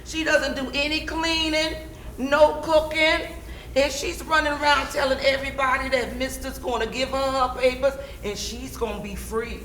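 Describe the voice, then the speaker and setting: Woman acting high-voiced, gossipy enslaved messenger, echoey stage. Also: fairly even intonation, fairly bright tone. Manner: hesitant, halting, Southern period dialect phrasing. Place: stage of a theatre or hall. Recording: echoey stage recording with faint noise.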